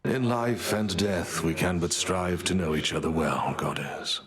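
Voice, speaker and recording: A somber, reverent shade from a video game, speaks solemnly and formally in a very deep voice, with murmuring voices clearly behind him. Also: male voice, measured pace, a crowd in the background.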